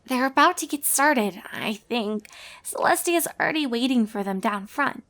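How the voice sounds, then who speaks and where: A woman's voice: on the high side, expressive, moderate pace, a bit bright, voice pushed up. A woman voicing a sensible, worried young female cartoon pony in an earnest, cautious way. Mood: matter-of-fact.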